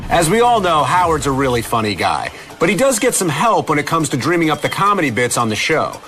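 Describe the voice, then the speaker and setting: A man's voice: on the high side, big pitch swings, a little fast, noisy background. A man co-hosting a show, reading scripted introductions with some banter. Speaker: show co-host. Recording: clear music under the voice.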